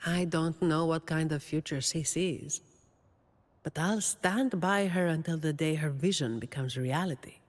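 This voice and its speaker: A woman playing a weary, dutiful young office employee in a video game, delivering scripted monologue in a dry, deadpan way. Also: deep voice, measured pace, in a large hall. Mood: earnest, reflective.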